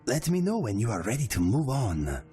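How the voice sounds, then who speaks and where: An actor playing a friendly philosophical cat companion in a video game, speaking in formal, unhurried phrases. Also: male voice, medium pitch, sing-song, mellow, faint music behind, close to an everyday voice. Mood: calm, friendly. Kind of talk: video game character dialogue.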